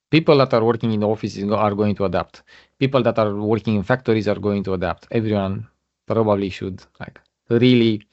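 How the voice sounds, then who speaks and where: Halting man presenting over a muffled call. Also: on the low side, creaky, darkish tone. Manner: halting, many fillers and restarts. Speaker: guest speaker. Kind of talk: webinar presentation. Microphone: video call. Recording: muffled lo-fi online call audio.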